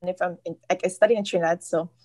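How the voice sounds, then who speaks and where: A woman's voice: fairly low pitch, steady tone, fast talker, darkish tone. A woman educator from Saint Martin with a Caribbean accent, answering interview questions in a friendly, enthusiastic way. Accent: Caribbean English, Saint Martin. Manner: friendly, enthusiastic about her island. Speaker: educator, guest.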